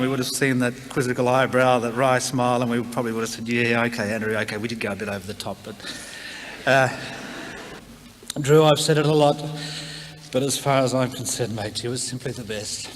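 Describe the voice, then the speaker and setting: Australian male, mid-pitched, plain-spoken informal tribute speaker, long hall reverb. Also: fairly even intonation, brisk. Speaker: memorial speaker, colleague of the deceased. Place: large town hall, public address. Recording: reverberant hall, clear background noise.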